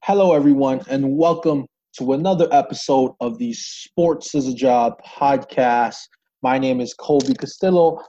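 A man's voice: medium pitch, dark tone, dull audio. A man hosting a podcast, introducing a guest and asking questions in a loose, friendly way with many fillers. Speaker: podcast host.

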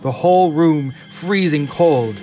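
A man reading scripted narration for an audio drama in a measured, often slow and hushed way. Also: fairly high pitch. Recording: clear music underneath.

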